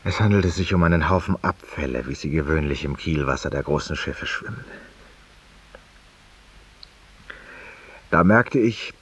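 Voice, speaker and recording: A man's voice: rumbling low, animated, brisk, fairly bright tone, a little hiss or hum. An actor playing a world-weary, eloquent, guilt-ridden man who confesses intimately to an unseen companion in a 1950s radio drama monologue. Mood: haunted, brooding. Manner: intimate, rhetorical, addressing an unseen companion, sometimes dropping to a whisper. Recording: muffled, distant 1950s radio recording.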